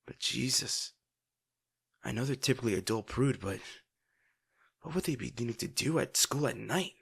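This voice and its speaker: A young man performs an abrasive teenage bully who cares more than he admits. His husky voice swings widely in pitch. He talks gruffly and straight at the listener, with curses and sighs, in the style of an intimate audio drama. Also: lively intonation, a bit bright, full character voice. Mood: muttering, irritated. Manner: gruff, swearing, sighing, halting when upset. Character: brash teenage bully boy, abrasive but secretly caring.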